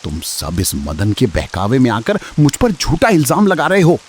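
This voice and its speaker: An actor playing a greedy, jealous, bullying eatery owner in a village cartoon story, accusing and blustering. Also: male voice, medium pitch, wildly swooping pitch, moderate pace, a little mellow, a little hiss or hum. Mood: defensive bluster. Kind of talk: dramatic Hindi moral-story cartoon acting.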